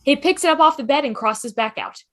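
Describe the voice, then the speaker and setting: Very high-voiced woman reading stage directions. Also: a little fast. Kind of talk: scripted narration for a table read.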